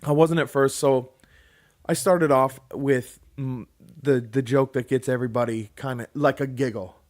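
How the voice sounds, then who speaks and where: A man's voice: mid-range voice, moderate pace. A man in his late thirties co-hosting a podcast, telling a long story in casual, rambling chat and acting out what other people said. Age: 30s. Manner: rambling, animated, acts out quoted people. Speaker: podcast co-host.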